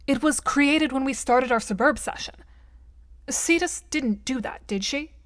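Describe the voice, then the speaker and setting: A woman's voice: sits high, a little slow, no echo, clean studio sound. A woman playing a straightforward, skeptical but patient girl gamer in an audio drama, with a composed, questioning manner.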